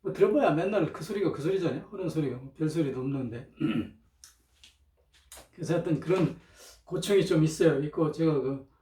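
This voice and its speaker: A man talking in an informal, rambling monologue, hesitant, often clearing his throat, with a slightly strained throat, on a cheap microphone. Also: on the high side, expressive, normal speed, mellow. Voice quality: strained throat from chronic irritation. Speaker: lecturer, channel host. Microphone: cheap, low-volume microphone. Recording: roomy, distant cheap microphone.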